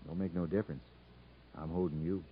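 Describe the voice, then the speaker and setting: A man's voice: low-pitched, fairly even intonation, fairly quick, a little hiss or hum, lightly acted. An actor playing a stubborn, folksy young country man in a 1950s radio drama. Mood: tender, easygoing. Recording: dull 1950s radio broadcast recording.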